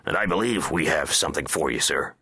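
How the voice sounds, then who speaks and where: A man voicing a stern, hard-right attorney general in a satirical audiobook, formal and measured as he intones. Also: very deep voice, lively intonation, pushed and bright, slightly rough, dry recording, clean studio sound, full character voice. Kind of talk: satirical audiobook character acting.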